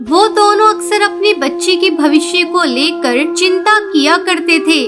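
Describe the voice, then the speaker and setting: A woman's voice: very high-pitched, a little slow, music in the background. A woman narrator reading a scripted children's cartoon story.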